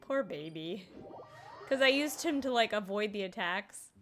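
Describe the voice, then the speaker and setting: A woman's voice: mid-range voice, expressive, unhurried, fairly bright tone. A woman game streamer giving casual, playful commentary and musing aloud as she plays. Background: game music.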